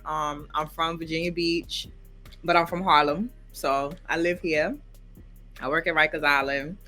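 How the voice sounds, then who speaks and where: Bright, casual woman in her thirties. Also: low voice, steady tone, a little slow, faint music behind. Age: 30s. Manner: casual, hedging with "like". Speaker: interviewee. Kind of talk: casual interview.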